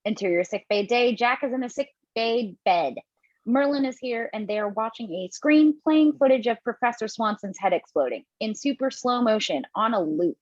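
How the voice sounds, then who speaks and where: A woman's voice: on the high side, expressive, normal speed, no room sound, highs cut off. A woman reading scripted stage directions as narrator at a table read.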